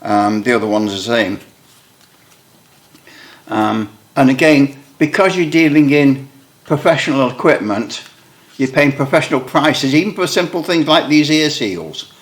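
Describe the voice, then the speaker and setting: British bloke rambling on about his radio gear, wry and self-deprecating, middle-pitched voice. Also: animated, unhurried. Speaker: radio hobbyist video maker. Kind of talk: casual show-and-tell explanation.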